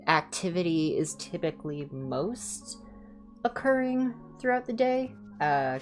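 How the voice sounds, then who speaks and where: A woman hosting a livestream, chatting casually in ASMR style with a low-key, rambling, self-deprecating manner. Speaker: livestream host and reader.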